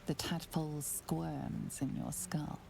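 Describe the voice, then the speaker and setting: An actress playing a grave, ominous fantasy game narrator, with a hushed delivery, telling the player's story in the second person. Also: female voice, wildly swooping pitch, slow, noisy background, full character voice. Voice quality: low, creaky, hushed at phrase ends. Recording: music behind the voice.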